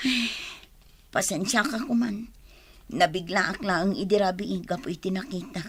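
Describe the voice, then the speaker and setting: A woman's voice: medium pitch, normal speed, fairly bright tone, slightly rough. An actress playing a hot-tempered, hurt young girlfriend in an Ilocano radio drama. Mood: subdued, remorseful. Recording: dramatic music behind the voice.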